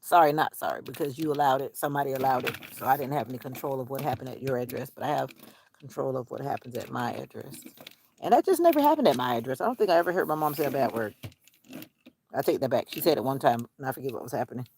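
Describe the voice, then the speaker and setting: A woman's voice: rumbling low, fast, gravelly, a little mellow, slight background noise. A woman vlogging casually outdoors, musing in a rambling way to herself and her viewers. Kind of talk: casual outdoor vlog chat. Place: outdoors on a nature walk.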